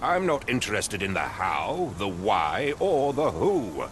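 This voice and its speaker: Pompous English captain, lowish animated voice. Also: male voice, slow, a little hiss or hum, full character voice. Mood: impatient, irritated. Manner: pompous, clipped, condescending. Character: pompous, impatient male English captain, haughty wealthy master. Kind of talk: video game voice acting.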